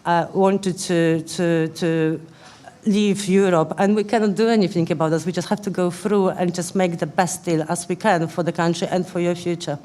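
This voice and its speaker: Woman, Polish accent, slightly low voice; political panellist answering an audience, earnest and halting. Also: steady tone, mellow. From Poland. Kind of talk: answering audience questions in a public debate. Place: live public question-time event indoors.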